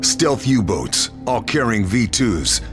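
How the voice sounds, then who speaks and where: Stoic male sniper for a game cutscene: very low voice, gruff, terse, music behind. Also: big pitch swings. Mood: grim resolve. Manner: terse, dry, measured. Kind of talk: video game cutscene acting and mission narration.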